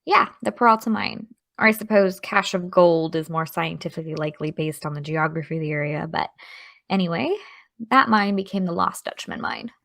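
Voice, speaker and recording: A woman's voice: on the low side, fairly quick, gravelly, fairly bright tone. An actress playing an earnest, studious teenage girl with a chatty, eager, slightly awkward manner in an audio drama. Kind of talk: naturalistic audio drama acting.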